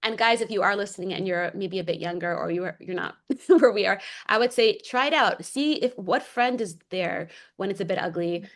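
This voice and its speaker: A woman's voice: mid-range voice, normal speed. A woman with an American accent, talking casually and expressively as a guest in a heartfelt conversation. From New York, United States. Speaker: interview guest.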